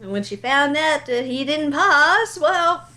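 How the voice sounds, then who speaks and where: A woman's voice: high-pitched, expressive, unhurried, pushed and bright. A woman chatting casually about video games as a guest on a talk show. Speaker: guest, amateur voice actor.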